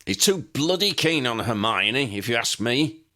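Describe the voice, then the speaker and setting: A stubborn young wizard voiced by a man sounds croaky and worn out. He is blunt and bitter, with big pitch movement in storybook dialogue. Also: big pitch swings, measured pace, pushed and bright.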